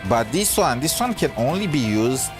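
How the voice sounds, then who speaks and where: A man's voice: medium pitch, very animated, moderate pace. A man giving casual reaction commentary as he streams a game. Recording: loud game music behind.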